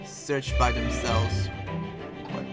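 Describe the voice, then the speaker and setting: A man's voice: mid-range voice, level tone, drawn-out words, over loud music. A man playing an earnest, conflicted demon slayer researcher in an improvised anime game roleplay, thinking aloud in a hesitant, rambling way. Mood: pensive, resolved.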